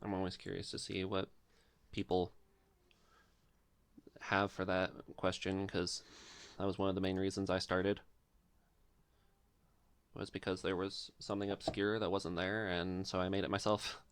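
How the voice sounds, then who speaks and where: A man's voice: deep voice, monotone, a little slow, pauses often, clean tone. A man hosting a casual podcast interview, politely asking questions and encouraging his guest.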